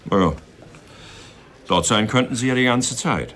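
An actor playing a polite, worried book publisher in a radio crime drama, businesslike and earnest. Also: male voice, medium pitch, lively intonation, unhurried, a bit bright. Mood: earnest, urging. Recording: studio radio play with bar crowd behind.

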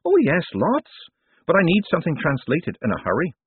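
A spiky, curt young woman who cuts people off bluntly, as a man voices her. The voice is pitched up, husky and breathy, and it comes over a tinny, phone-like line. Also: huge pitch swings, brisk, no room sound, exaggerated voice.

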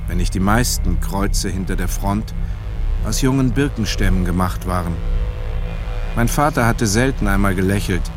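An actor playing a reflective grown son who narrates memories of his father in a radio drama, measured and deliberate. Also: fairly low pitch, close to an everyday voice.

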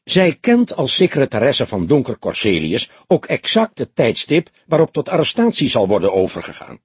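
A man's voice: mid-range voice, huge pitch swings, moderate pace, slightly rough, no room sound, pitched above the performer's own voice, heavily acted. A man voicing a hot-headed, stubborn young police detective in an audiobook, indignant and insistent. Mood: stubborn, emphatic. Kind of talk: audiobook character voice.

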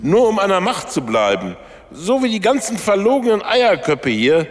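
A brash populist candidate in a radio satire. He speaks bluntly and colloquially, with a raised, animated voice. His words ring through a hall with music behind. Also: high-pitched, normal speed. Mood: defiant, frank. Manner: plain-spoken, colloquial, combative. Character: brash, blunt populist chancellor candidate and Schalke football fan. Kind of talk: radio satire political speech.

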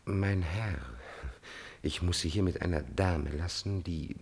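An actor playing a scheming, condescending courtier in a classical radio tragedy, husky-voiced, with courtly, insinuating and halting speech. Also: male voice, deep voice, full character voice. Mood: confidential, insinuating. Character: smooth-mannered, scheming male courtier, condescending. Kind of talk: classical German stage tragedy as radio drama.

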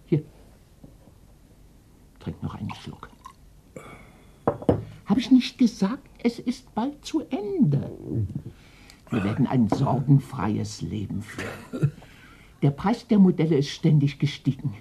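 A woman's voice: a bass voice, wildly swooping pitch, takes it slowly, gravelly, pauses often, noisy background, heavily acted. An actress playing a domineering, eccentric old woman in her eighties, vengeful and wistful, coaxing and commanding theatrically in a German radio play. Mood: sharp, commanding. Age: older.

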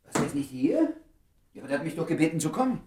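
An actor playing an inquisitive, easily flustered doctor in a German radio play, speaking with insistence and hesitation while feigning indifference. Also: male voice, a little high, very animated, racing, background noise. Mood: awkward, feigned indifference.

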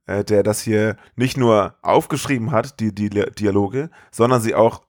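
A man's voice: fairly low pitch, normal speed. A man hosting a podcast, retelling a story in a casual comedic style. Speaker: podcast host.

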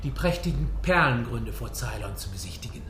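Actor as polite manservant, echoey room. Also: male voice, medium pitch, expressive, a little fast, fairly bright tone, natural voice. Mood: courteous. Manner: formal, deferential. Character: polite, pedantic manservant and amateur classifier. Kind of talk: radio play acting. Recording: echoey room, faint noise.

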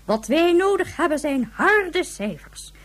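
A commanding female government official in a radio play, stern and demanding. Her pitch is high and rises and falls dramatically. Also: very animated, measured pace. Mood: accusing. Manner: clipped, demanding.

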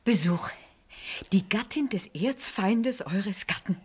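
A woman's voice: medium pitch, lively intonation, slow pace, a little mellow. A woman in a radio drama playing a dutiful maid with a clipped, formal manner. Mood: dutiful, insistent. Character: dutiful maid announcing visitors to her mistress.

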